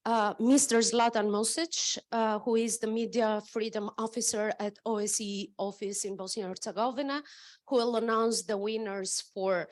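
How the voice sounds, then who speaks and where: A woman's voice: fairly high pitch, steady tone, normal speed. Hesitant woman hosting an awards ceremony. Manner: hesitant, many fillers. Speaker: event moderator. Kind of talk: formal ceremony announcing.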